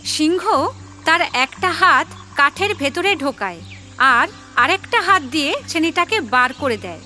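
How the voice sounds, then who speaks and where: Very high-pitched woman storyteller. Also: expressive, unhurried, pushed and bright, faint music behind. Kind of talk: scripted children's story narration.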